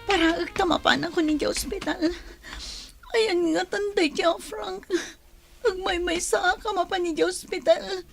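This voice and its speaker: A woman's voice: very high-pitched, steady tone, measured pace, vocal fry, soft background music, full character voice. A woman playing a distressed, determined young mother in a radio drama, with tearful delivery. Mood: tearful pleading.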